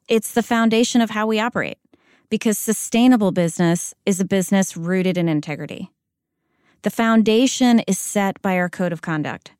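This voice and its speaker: A middle-aged woman from Spain with an American accent, answering interview questions as a corporate executive in a measured, deliberate way. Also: medium pitch, dry recording, studio quality. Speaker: corporate chief integrity officer.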